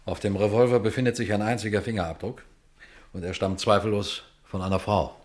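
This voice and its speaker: An actor playing a sober, watchful police detective, telling his case in a measured, formal radio crime drama style. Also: on the low side, fairly even intonation, a little mellow, close to an everyday voice. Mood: formal, probing. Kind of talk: radio crime drama, suspenseful first-person narration and dialogue.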